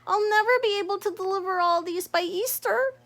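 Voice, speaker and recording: A woman voicing an eager, excitable little male frog in a children's storybook. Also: very high voice, fairly quick, pitched above the performer's own voice. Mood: overwhelmed.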